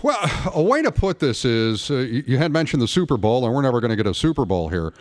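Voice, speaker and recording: A man's voice: mid-range voice, sing-song, fast, darkish tone. A man chatting conversationally about sports on a radio talk show. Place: radio studio.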